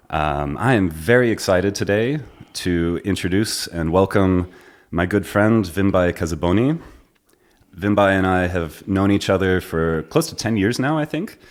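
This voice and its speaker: A man with a deep, low-pitched voice hosts a relaxed podcast interview. He asks friendly, unhurried questions, recorded indoors in a conductor's room. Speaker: podcast host, double bass player. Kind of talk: casual interview conversation.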